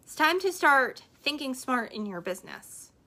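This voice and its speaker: A woman with a high, bright voice and a slight hoarseness talks straight at her listeners. She delivers business advice as a host, emphatic and repetitive, driving each point home. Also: sing-song, normal speed. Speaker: podcast host and network marketer. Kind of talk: motivational business talk on a live stream.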